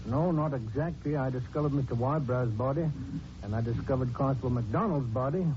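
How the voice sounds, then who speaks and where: An actor playing a plain-spoken, dutiful police sergeant in a 1940s radio drama, deferential and matter-of-fact. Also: male voice, mid-range voice, a little fast, natural voice. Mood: earnest, respectful. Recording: dull, noisy 1940s radio recording.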